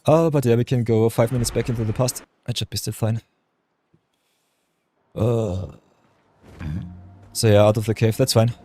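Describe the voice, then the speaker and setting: Deep-voiced male streamer chatting. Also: fairly even intonation, normal speed, long pauses, warm tone. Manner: chatty, talks to chat viewers, hums and mutters while thinking. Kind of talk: casual live-stream chat over gameplay.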